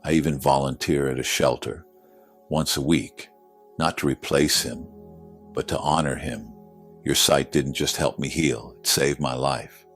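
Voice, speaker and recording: A man with an extremely low voice reads scripted, emotional narration. His delivery is earnest and solemn, with faint music playing behind him. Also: fairly even intonation, a little slow. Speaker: presenter reading listener letter. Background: background music.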